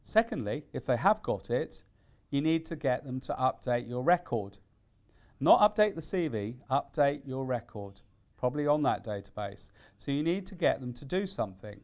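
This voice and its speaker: Man giving deliberate, instructional advice. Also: medium pitch, sing-song, a little mellow. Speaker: careers consultant giving tips. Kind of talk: instructional presentation.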